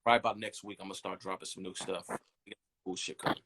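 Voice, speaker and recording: A man in his thirties, a brand designer, chatting in a relaxed interview and rambling easily with lots of "you know what I mean". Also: low voice, fast talker, very noisy. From United States. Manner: easygoing, rambling, leans on "you know what I'm saying".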